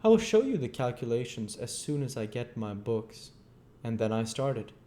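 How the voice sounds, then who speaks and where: A man's voice: mid-range voice, sing-song, moderate pace, mellow, lightly acted. A man voicing an arrogant, bitter, volatile scientist on the run in a storybook, declaiming emphatically and indignantly. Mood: eager, boastful.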